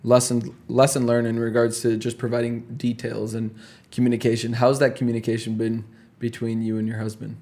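A man hosting a casual interview, friendly and affirming, with quick comments between the guest's answers. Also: medium pitch, completely flat delivery, mellow. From Puerto Rican family. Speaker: podcast host.

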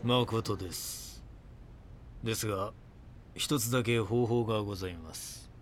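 An actor playing a calm, grandiose cult founder in an audio drama, speaking politely and preachily with deliberate phrasing. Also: male voice, lowish voice, slight background noise, close to an everyday voice. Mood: calm, solemn.

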